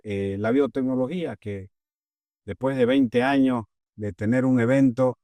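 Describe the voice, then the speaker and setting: Low-voiced Bolivian man, measured and earnest. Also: darkish tone, no echo. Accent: Bolivian Spanish. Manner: measured, earnest, pauses with fillers. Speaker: agricultural leader, guest. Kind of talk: interview answers.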